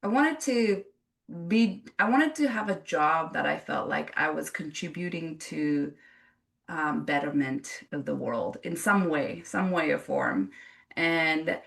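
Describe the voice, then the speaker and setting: Low gravelly woman, earnest storyteller. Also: a bit bright, recorded from a distance. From Japan. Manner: earnest and reflective, with frequent restarts. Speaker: yoga teacher guest. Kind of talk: casual interview storytelling.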